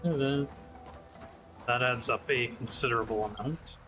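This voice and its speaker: A man's voice: medium pitch, normal speed, sharp tone, faint music behind. A man giving casual commentary as he streams a playthrough of a video game.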